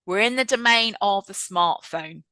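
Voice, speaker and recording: A woman in her fifties hosting a webinar over a video call, talking in a chatty, lively and reflective way. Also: mid-range voice, measured pace, clean tone, no room sound. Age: 50s. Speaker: webinar host and trainer. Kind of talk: presenting a webinar.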